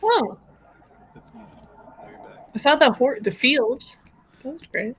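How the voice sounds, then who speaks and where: A woman's voice: high-pitched, big pitch swings, takes it slowly, fairly bright tone. A woman hosting a live stream in a casual, chatty and giggly way, speaking over a video call.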